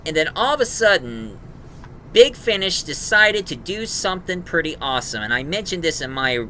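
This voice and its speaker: A man giving an informal, emphatic review of an audio drama, recapping it in a halting way. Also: fairly high pitch, sing-song, sharp tone, a little hiss or hum.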